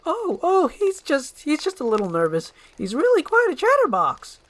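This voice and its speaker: A man's voice: huge pitch swings, normal speed, fairly bright tone, full character voice. A man voicing a flustered old peasant woman who fusses and pleads in a storybook reading. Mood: nervous, coaxing. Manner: high-pitched, fussy pleading.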